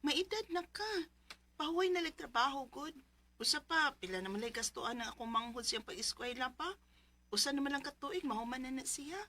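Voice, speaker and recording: High, bright, emotive young Bisaya daughter. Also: female voice, animated, brisk, heavily acted. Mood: affectionate, grateful. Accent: Filipino, Bisaya. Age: 20s. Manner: emotive, affectionate. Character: dutiful, suffering eldest daughter, twenty-six. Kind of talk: Bisaya radio drama acting.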